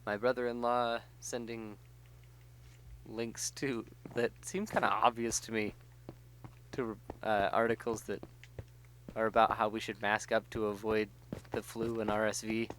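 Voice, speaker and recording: A man's voice: on the low side, little pitch movement, measured pace, long pauses, fairly bright tone, slight background noise, rough recording. A middle-aged man chatting casually as he hosts a gaming session, self-deprecating and joking as he tells little anecdotes. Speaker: gaming show host. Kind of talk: casual chat while playing a video game.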